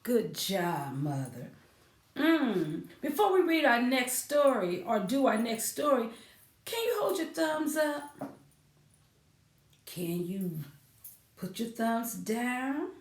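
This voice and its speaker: A woman with a fairly high voice leads a children's storytime in a roomy space, a little away from the mic. She is sing-song and coaxing, inviting little listeners to join in. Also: sing-song, slow, long pauses. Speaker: library storytime presenter. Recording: roomy, echoing sound, distant from mic.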